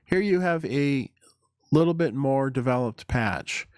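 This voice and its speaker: A man narrating a hands-on synthesizer tutorial in a halting, deliberate way, explaining as he goes. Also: mid-range voice, animated, smooth, no room sound, studio quality. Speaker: tutorial presenter.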